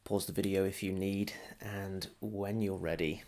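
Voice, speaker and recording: A man guides a meditation in a deep voice with a creaky, fried edge. He speaks very slowly and soothingly, setting out short phrases with long pauses between them. The delivery is calm instruction, like a teacher leading practice.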